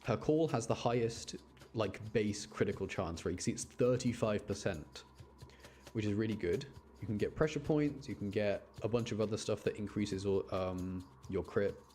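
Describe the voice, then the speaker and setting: A man's voice: on the low side, normal speed, dark tone, a little hiss or hum. A man giving casual live commentary as he streams a video game, rambling and often repeating himself.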